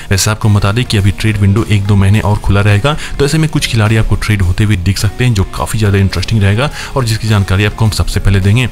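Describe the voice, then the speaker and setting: A man hosting a cricket news roundup, reading a scripted voice-over in a rapid, steady newsreader delivery and addressing viewers as friends. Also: lowish voice. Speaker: cricket news channel host.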